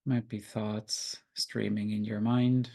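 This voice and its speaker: Male meditation guide, heavy vocal fry, fairly low register, gentle unhurried instructions with generous pauses. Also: flat delivery.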